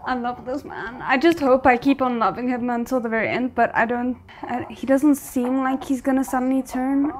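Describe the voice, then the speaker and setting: A woman giving casual, unscripted reaction commentary as she watches a drama series, thinking aloud in an informal, halting way. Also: high voice, steady tone. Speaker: reaction video host.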